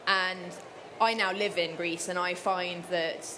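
A woman's voice: lowish voice, fairly even intonation, slow pace, noisy background. A woman author answering interview questions thoughtfully, with many fillers and restarts. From Cyprus. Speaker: author guest.

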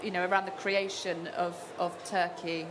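A woman interviewer asking gentle, searching questions, with fillers as she forms them. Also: fairly low pitch, flat delivery, normal speed, background noise.

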